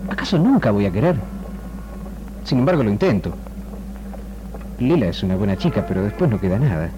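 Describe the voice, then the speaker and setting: A man's voice: on the low side, very animated, fairly quick, dull audio, noisy background. An actor with an Argentine accent playing an anguished, self-doubting young man in a 1960s radio drama. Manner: brooding, questioning himself. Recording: archival radio recording with music.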